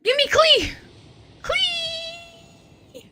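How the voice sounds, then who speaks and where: A woman livestreaming a video game, chatting casually to viewers in an excitable, rambling manner. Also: very high voice, very slow, faint music behind. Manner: excitable, rambling, swears freely. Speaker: game streamer. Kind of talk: casual live gaming commentary, chatting to viewers.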